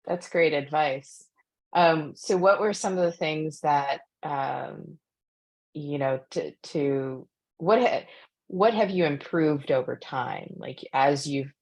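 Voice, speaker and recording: A woman's voice: deep voice, slow. A woman interviewing an author at a bookstore talk, asking questions and summing up her advice in an encouraging way.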